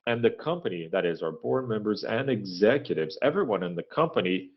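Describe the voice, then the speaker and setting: A man giving a corporate earnings presentation in a halting, measured business manner, pausing often to hesitate. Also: on the low side, animated, breathy edge. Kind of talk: corporate earnings call presentation and question answering, unscripted. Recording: dull, lo-fi conference call audio.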